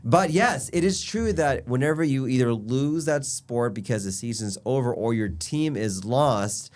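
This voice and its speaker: A man's voice: medium pitch, expressive, a little slow, darkish tone. A man with a stuffy, nasal voice from allergies, a doctor explaining health tips in an upbeat casual chat. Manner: upbeat, explanatory, lists points. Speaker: physician guest.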